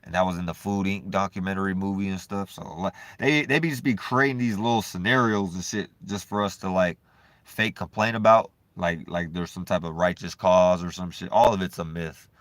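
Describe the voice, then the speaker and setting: A man vlogging in a loose, profane, rambling monologue, swinging between animated bursts and low mumbling. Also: a little fast, no room sound. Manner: loose, profane and repetitive, full of "you know what I'm saying".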